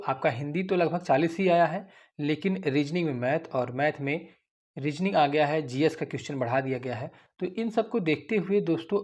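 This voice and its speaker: Young male host, slightly high voice, informal explainer delivery, addresses viewers directly. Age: young adult. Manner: repetitive, often addressing listeners as friends. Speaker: YouTube host giving exam updates.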